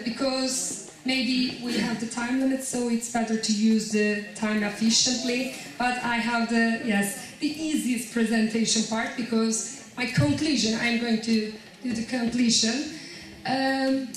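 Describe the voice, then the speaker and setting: A woman presents at a conference, her voice sitting a little high and her delivery slow and halting as she searches for words. She is heard from some distance off the mic, in a hall full of echo. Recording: very echoey hall, voice from far off.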